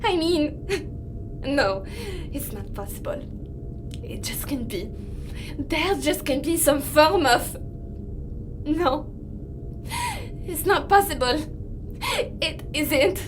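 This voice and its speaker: Actress as panicked female astronaut: very high, tearful, slow and broken delivery. Sci-fi audio drama, faint background noise. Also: lively intonation. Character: frightened, homesick young female astronaut in denial.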